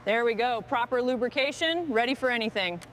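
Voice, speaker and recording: A woman with a high voice gives terse, step-by-step remarks on a machinery restoration job. There is clear ambient noise behind her short phrases. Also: expressive, a little fast, fairly bright tone. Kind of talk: short work commentary during restoration.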